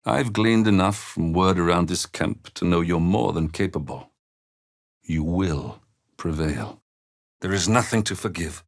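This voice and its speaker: Low-voiced, weary, gentle older father. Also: creaky, full character voice. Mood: tender, reassuring. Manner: measured, earnest, formal phrasing. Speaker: voice actor in a video game. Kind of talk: dramatic fantasy game dialogue.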